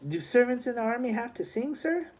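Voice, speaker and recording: A man reads as a plucky city boy who has run away from home in a historical storybook. He sounds earnest, polite and anxious to please, with a mellow tone. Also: very high-pitched, big pitch swings, brisk, voice pushed up, full character voice.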